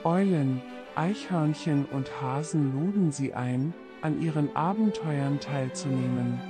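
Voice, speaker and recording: Male narrator for children's bedtime fairy tales, slightly high register, very measured delivery. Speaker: storyteller. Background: orchestral string serenade music.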